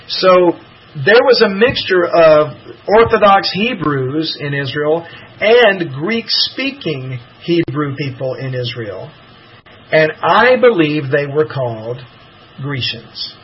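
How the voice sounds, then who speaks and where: Husky man teaching the Bible on lo-fi radio. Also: fairly high pitch. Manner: rambling, hedging, many fillers and restarts.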